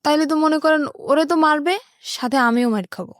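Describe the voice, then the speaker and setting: A woman's voice: high voice, brisk, dry recording, clean studio sound. A young woman with a Bangladeshi accent, telling her life story as an interview guest.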